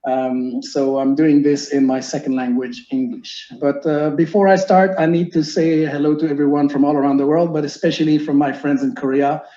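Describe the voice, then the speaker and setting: French-Canadian male teacher, 40s, earnest lecturer, raised mellow voice, echoey lo-fi recording. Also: fairly high pitch, level tone, moderate pace, hardly any pauses. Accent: Québécois French. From Quebec, Canada. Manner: earnest, explanatory, with frequent fillers and restarts. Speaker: teacher giving a seminar. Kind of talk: online seminar presentation. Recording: echoey room, muffled lo-fi sound.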